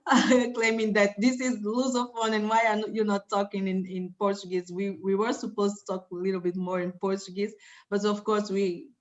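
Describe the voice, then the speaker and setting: A woman speaks on an online conference panel with a middle pitch, informal and full of repeated words and fillers. Her audio comes through dull and lo-fi.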